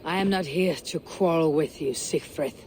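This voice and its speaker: An actor playing a terse, blunt Viking warrior leader in a video game. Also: female voice, low-pitched, moderate pace, a little mellow, a little hiss or hum, close to an everyday voice.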